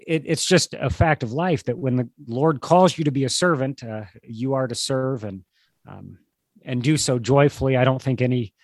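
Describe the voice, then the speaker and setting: Guy with a head cold chatting on a podcast, dry close sound, kinda halting and earnest. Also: mid-range voice, a little mellow, no echo. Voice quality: slightly stuffy, congested. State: struggling with a cold. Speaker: podcast co-host. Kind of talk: casual conversational podcast talk. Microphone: video call.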